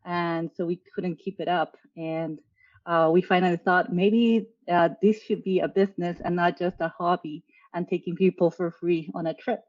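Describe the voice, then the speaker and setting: Peruvian lady guest on a call, chatty with lots of ums, kinda low voice, muffled audio. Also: normal speed. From Peru. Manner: relaxed storytelling with frequent fillers. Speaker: guest, travel agency owner. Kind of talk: casual interview talk.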